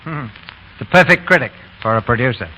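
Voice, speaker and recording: A man's voice: medium pitch, animated, brisk, sharp tone, a little hiss or hum, rough recording. A man hosting and narrating a 1930s radio drama broadcast in a formal, polished, declamatory scripted style.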